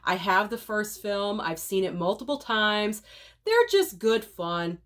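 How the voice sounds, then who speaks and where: A woman's voice: mid-range voice, animated, a little slow, a bit bright. A woman with a cold and a nasal voice, chatting through a casual rundown of upcoming movies for her channel viewers. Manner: chatty, opinionated, direct to viewers. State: sick, nasal voice.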